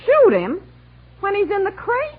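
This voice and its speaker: An actress playing a squeamish, tender-hearted American housewife in a 1960s radio comedy, fussing and pleading in a conversational way. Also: female voice, very high voice, wildly swooping pitch, unhurried, full character voice. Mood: indignant, protesting. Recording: muffled old radio recording, faint hum.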